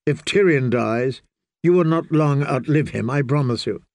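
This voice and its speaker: A man voicing a grim, one-handed knight who speaks in a cold, level, quietly menacing way. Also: medium pitch, moderate pace, dry recording, studio quality, lightly acted.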